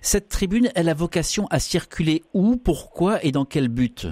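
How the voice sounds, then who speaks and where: A man hosting a live radio interview, asking questions in a measured way. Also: on the high side, expressive, darkish tone, dry recording. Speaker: radio host.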